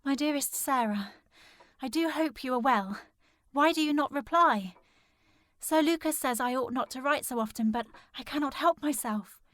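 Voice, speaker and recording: High-voiced, earnest young gentlewoman. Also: normal speed, dry recording. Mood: sad, hushed reading. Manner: earnest, insistent, formal period speech. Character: distressed, determined young gentlewoman searching for her missing sister. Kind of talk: period audio drama acting.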